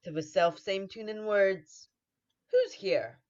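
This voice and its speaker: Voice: medium pitch, slow, darkish tone, natural voice. A student actor playing a wary, bold noble warrior, declaiming in the style of a 1940s radio Shakespeare play, on dull audio. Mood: amazed.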